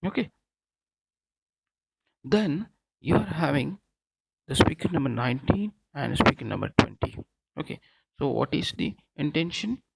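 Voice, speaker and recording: A man lecturing in an explanatory, halting way, repeating himself and often checking in with a tagged okay. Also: on the high side, very animated, vocal fry, slight background noise, poor audio. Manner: halting, repetitive, frequent okay as a tag. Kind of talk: explanatory technical lecture over slides.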